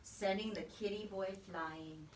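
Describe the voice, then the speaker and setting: A woman reading a children's story aloud as a teacher, with expressive, animated narration. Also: mid-range voice, unhurried, a little hiss or hum. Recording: echoey room, distant microphone.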